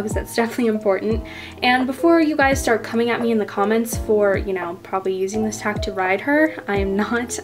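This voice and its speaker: A young woman in her teens narrating a casual horse vlog, chatty and full of fillers and little asides. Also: a little high. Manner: chatty, many fillers and "you know". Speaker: toy horse vlog creator voicing a rider. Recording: voice-over with clear music underneath.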